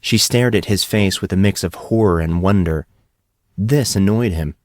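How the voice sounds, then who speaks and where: Low-pitched man narrating an audiobook. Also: normal speed, dry recording, clean studio sound. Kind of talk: scripted story narration.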